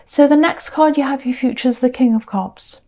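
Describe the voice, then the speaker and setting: A tired woman with a croaky voice gives a tarot reading, with a slightly high pitch and a mellow, soft tone. She wanders gently from thought to thought with plenty of ums. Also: fairly quick. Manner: gentle, rambling, many fillers and restarts. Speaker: tarot reader. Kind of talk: casual tarot reading to camera.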